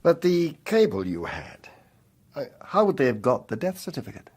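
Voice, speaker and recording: An actor in a radio drama playing a worldly older confidant, shrewd and philosophical, with a dryly ironic, probing manner. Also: male voice, fairly high pitch, wildly swooping pitch, normal speed, a little mellow, slightly rough, full character voice.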